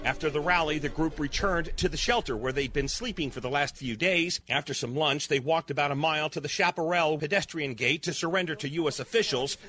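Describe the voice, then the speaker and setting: A man's voice: fairly high pitch, fairly quick, few pauses, sharp tone, soft background music. A man working as a field news reporter, narrating a scripted report and asking questions out in the field. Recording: outdoor urban crowd noise. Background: crowd and street sounds outdoors.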